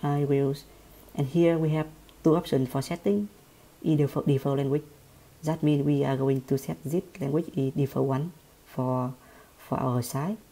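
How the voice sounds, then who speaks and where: A woman with a Vietnamese accent, a native Vietnamese speaker, walking through a software screen recording in a halting way, often repeating words and restarting. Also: rumbling low, fairly even intonation, low-quality recording. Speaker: software tutorial presenter.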